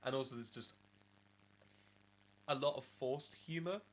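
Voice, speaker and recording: A man's voice: mid-range voice, a little slow. A man from England co-hosting a podcast, talking casually with a slightly rattly voice after being ill, rambling with many restarts. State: just recovered from illness, rattly voice. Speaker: podcast co-host. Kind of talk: casual chat.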